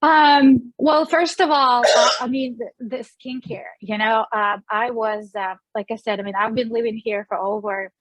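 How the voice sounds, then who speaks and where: A woman's voice: on the high side, steady tone, moderate pace, hardly any pauses, no echo, low-quality recording. A woman in her forties from Russia with a Russian accent, chatting as an interview guest, with many fillers and restarts. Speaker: guest, sales director. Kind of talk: casual interview.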